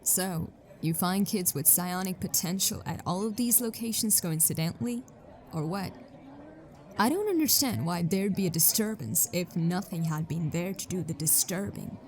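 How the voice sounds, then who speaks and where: An actress playing a persistent, skeptical detective in a sci-fi audio drama, questioning in a direct way. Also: female voice, fairly low pitch, moderate pace, a little mellow, lightly acted. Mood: skeptical, probing. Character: persistent, skeptical female detective, dogged investigator.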